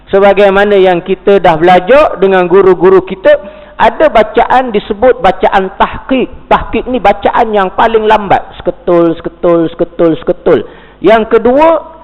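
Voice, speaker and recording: Malaysian Malay accent, man, religious teacher, high voice, long hall reverb. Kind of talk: mosque lecture. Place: mosque hall. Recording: dull, reverberant mosque recording.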